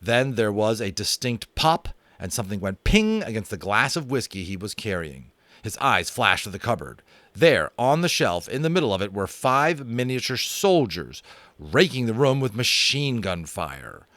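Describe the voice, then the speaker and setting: Animated American man reading aloud. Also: lowish voice, normal speed, no echo. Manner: animated, chatty, self-amused. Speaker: audiobook reader and host. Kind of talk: dramatic audiobook narration with chatty asides. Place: home room.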